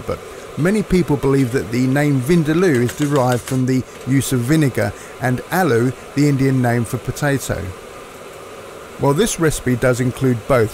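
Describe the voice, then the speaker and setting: A man, narrating cooking instructions in a measured, explanatory way. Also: medium pitch, background noise. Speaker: cooking presenter.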